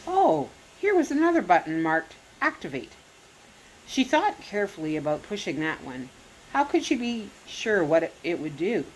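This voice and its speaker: A woman reading a children's chapter book aloud as a storyteller, expressive and animated, now and then correcting herself. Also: on the low side, a little hiss or hum, rough recording. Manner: expressive read-aloud with lively rises on exclamations; occasional self-corrections.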